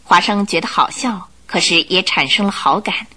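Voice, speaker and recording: A woman narrating a radio drama in an expressive, scripted storytelling style. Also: on the low side, normal speed.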